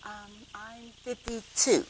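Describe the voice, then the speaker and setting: A woman's voice: a little high, flat delivery, slow pace, slight background noise. A woman in her fifties hosting a church panel discussion, conversational and often checking in with the room. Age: 50s. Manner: warm, conversational, checks in with "okay?". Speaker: host, moderator of a women's panel.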